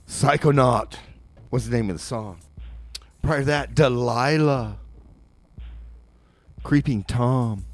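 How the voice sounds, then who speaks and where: A man's voice: mid-range voice, a little mellow. A man hosting a community radio show, announcing casually and rambling, often repeating his phrases.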